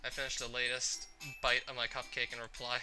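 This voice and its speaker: A game player reading a visual novel's narration aloud in an expressive storytelling style. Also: mid-range voice, brisk, over music.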